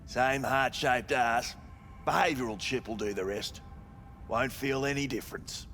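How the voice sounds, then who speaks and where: A man's voice: medium pitch, unhurried, a bit bright, faint music behind. An actor playing a sleazy, cynical male brothel manager in a cyberpunk video game, talking in crude, dismissive slang. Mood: dismissive, snide. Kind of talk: video game dialogue acting.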